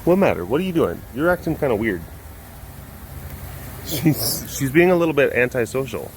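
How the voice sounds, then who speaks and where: A playful man with a Wisconsin accent chats in a rambling, jokey way for his vlog. He speaks at an ordinary male pitch, recorded outdoors with faint noise behind him. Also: big pitch swings, moderate pace, rough recording. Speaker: animal sanctuary vlogger. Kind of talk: casual vlog chat.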